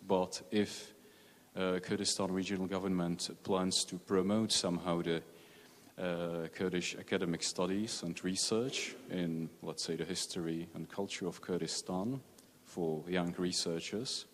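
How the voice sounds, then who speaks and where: A man, a journalist asking a careful, halting question from the audience in a conference hall. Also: sits low. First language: Czech. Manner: careful, halting, many restarts. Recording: long reverb of a conference hall.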